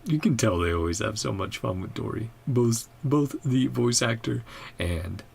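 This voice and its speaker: A woman's voice: rumbling low, expressive, normal speed, vocal fry, a little mellow, husky. A woman streamer giving a casual gameplay reaction, musing in short remarks and reading dialogue aloud. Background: game music and dialogue.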